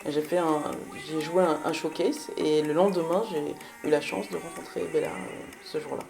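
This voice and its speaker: Low-voiced creaky woman giving interview answers. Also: steady tone, moderate pace, over music. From Belgium. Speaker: musician, singer and guitarist. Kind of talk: interview answers about personal career.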